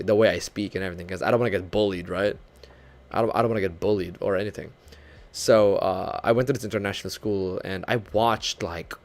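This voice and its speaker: A young Filipino man streaming as a VTuber, chatting casually and laughing often, with English that leans North American. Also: on the low side. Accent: light North American-leaning English, Filipino speaker. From Philippines. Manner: chatty, self-deprecating, laughs often, animated when retelling. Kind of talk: casual live-stream chat with viewers.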